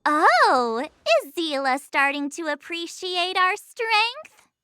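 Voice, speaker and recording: A very high, bright girl's voice, full of swings in pitch and taking its time. She is a perky, teasing game adventurer, expressive and a bit impatient. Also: slow pace, dry recording, exaggerated voice. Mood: playful, curious. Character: perky, playful, impatient young girl adventurer. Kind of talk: video game cutscene acting. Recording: light music behind the voice.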